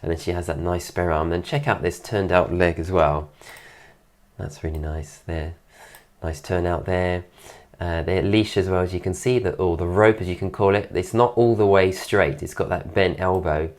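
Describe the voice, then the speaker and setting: A man recovering from an illness, his voice deeper than usual and his nose congested, giving an admiring, relaxed commentary over a dance video. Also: normal speed. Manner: admiring, keeps praising details. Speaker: dance teacher reviewing a dance video.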